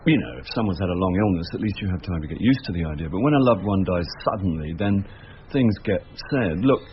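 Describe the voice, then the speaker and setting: Boozy amateur sleuth, English, very low pitch, wry deadpan radio-play delivery, slightly muffled sound. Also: male voice, quick pace, slight background noise. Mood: awkward, probing. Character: dry, boozy, self-deprecating male actor turned amateur sleuth. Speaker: narrator and lead character. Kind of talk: wry first-person radio drama narration and dialogue. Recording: muffled radio broadcast with music behind.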